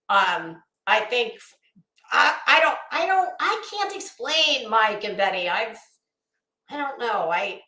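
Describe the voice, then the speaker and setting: Female livestream host, unhurried and high in pitch, emphatic, halting and foul-mouthed, casual delivery. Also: pushed and bright. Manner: blunt, sweary, emphatic. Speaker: host of a live commentary channel. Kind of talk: casual livestream rant, chatting to viewers. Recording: echoey, roomy sound.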